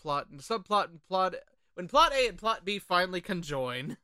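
A podcast co-host talking casually and informally about films, animated and sweary. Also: mid-range voice, normal speed, dry recording, clean studio sound. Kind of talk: casual film discussion.

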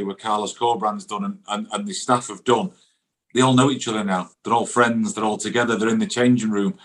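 A middle-aged man from a football background talks. He is a chatty ex-goalkeeper pundit, colloquial and informal, with a voice of ordinary male pitch. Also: fairly bright tone. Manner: chatty, colloquial, often adds "you know what I mean". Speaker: former professional goalkeeper, guest pundit. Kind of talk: casual football chat.

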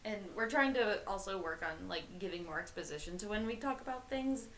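A young woman with vocal fry, co-hosting a casual, bubbly film podcast. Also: mid-range voice, lively intonation, a little fast, fairly bright tone, echoey room, rough recording. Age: young adult. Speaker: podcast co-host. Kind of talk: casual film review chat. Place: on a bed in a bedroom.

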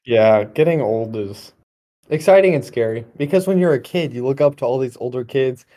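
A man's voice: mid-range voice, expressive, normal speed, dark tone. A twenty-year-old man chatting casually as a podcast host, in a rambling, wry storytelling manner. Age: 20, young adult. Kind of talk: casual conversational podcast chat.

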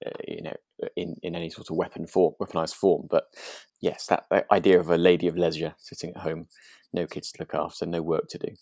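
Man, low voice, tentative conversational co-host thinking aloud, dry recording. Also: little pitch movement, fast talker, creaky, darkish tone, no room sound. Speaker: podcast co-host. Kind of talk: relaxed chat discussing a radio drama.

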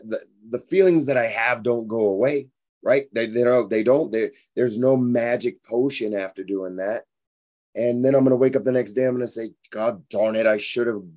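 A hoarse, tired man in his forties, hosting a fitness podcast in a frank, rambling motivational monologue. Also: medium pitch, dark tone. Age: 40s. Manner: rambling, frank, repeats himself.

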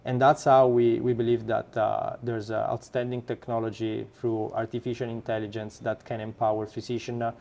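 A man with an Italian accent, a startup founder being interviewed, who talks haltingly with many fillers and restarts. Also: mid-range voice, little pitch movement, creaky, mellow. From Italy. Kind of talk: podcast interview.

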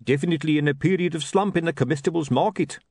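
A man's voice: on the high side, quick pace, darkish tone, dry recording, pitched above the performer's own voice. Man doing a gloomy, hopeless sausage seller. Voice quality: hopeless, mournful. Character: gloomy hapless male street sausage seller.

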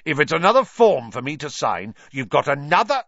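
A man voicing a gruff, suspicious middle-aged uncle who snarls and splutters. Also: on the high side, sing-song, a little fast, fairly bright tone, no echo, dull audio, voice pushed up, full character voice. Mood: snarling.

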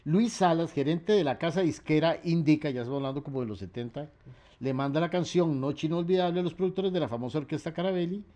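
Male radio host, mellow tone, long runs of facts. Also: on the high side. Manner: reads facts in long runs, trails off with fillers. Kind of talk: relaxed radio talk about music history.

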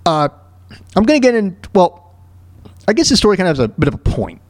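A man in his thirties hosting a talk show alone, telling stories and ranting casually in a halting, repetitive way with frequent throat clearing. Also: high-pitched, wildly swooping pitch, dry recording, clean studio sound. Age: 30s. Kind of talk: casual monologue, ranting and personal storytelling.

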